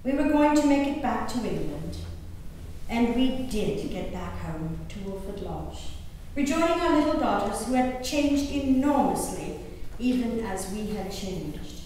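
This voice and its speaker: Woman acting haunted, resolute historical gentlewoman, theatrical stage monologue, measured dramatic pauses, long hall reverb, background noise. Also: on the high side, big pitch swings. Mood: resigned, reflective. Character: Elizabeth Simcoe, resolute haunted English gentlewoman recalling peril at sea. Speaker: stage performer. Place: live reading in a reverberant hall. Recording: distant echoey hall, noisy room recording.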